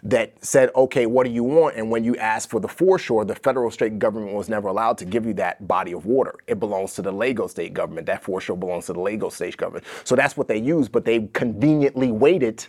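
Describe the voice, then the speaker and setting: A man's voice: lowish voice, fast talker, few pauses, a little mellow. A Nigerian-born man in his forties with an American accent, a guest telling his family's story in a casual interview and acting out other people's voices. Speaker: guest, author.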